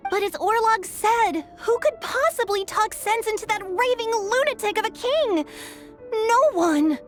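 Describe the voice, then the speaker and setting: An actress playing a small, anxious young persecuted character in a video game, pleading fearfully, with music behind. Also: female voice, very high-pitched, expressive, measured pace. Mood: agitated. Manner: anxious, imploring. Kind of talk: scripted video game voice acting. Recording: game dialogue over clear music.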